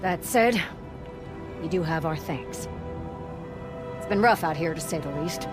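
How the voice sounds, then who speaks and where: A woman's voice: deep voice, measured pace, over music. A woman playing a battle-weary, no-nonsense lieutenant in a game, speaking in a curt, dry, commanding way. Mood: dry, guarded. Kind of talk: cinematic game dialogue.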